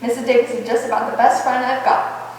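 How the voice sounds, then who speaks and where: A woman playing a wry, quick-witted schoolteacher in a radio comedy, with dry wisecracking delivery. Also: on the high side, level tone, distant mic, natural voice. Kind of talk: 1940s-style radio comedy stage acting. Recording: echoey hall from afar, faint noise.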